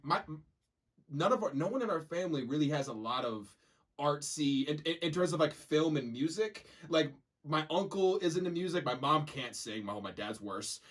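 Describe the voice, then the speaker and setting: A man's voice: on the high side, animated, normal speed, fairly bright tone. A young man co-hosting a podcast, telling stories in a rambling, casual way with lots of restarts and stammered fillers. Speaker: podcast co-host. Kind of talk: casual chat.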